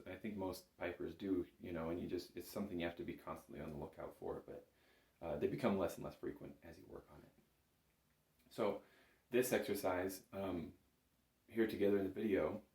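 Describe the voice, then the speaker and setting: A man's voice: sits low, darkish tone. A man giving an informal, conversational music lesson as an instructor, explaining exercises in an encouraging, self-effacing way. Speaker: bagpipe instructor. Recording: roomy, echoing indoor sound.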